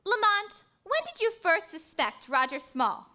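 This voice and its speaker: Woman playing radio heroine, high bright voice, earnest, inquisitive, sympathetic, theatrical mystery-serial delivery. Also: big pitch swings, measured pace, heavily acted. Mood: earnest, curious. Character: crime fighter's female companion, earnest, inquisitive, sympathetic. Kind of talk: old-time radio drama acting.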